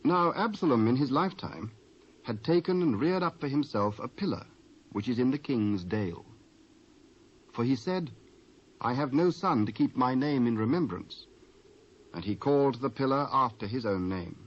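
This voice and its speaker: A British man narrating scripture in a measured, scripted style with archaic English. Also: mid-range voice, lively intonation. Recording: muffled cassette transfer with faint noise.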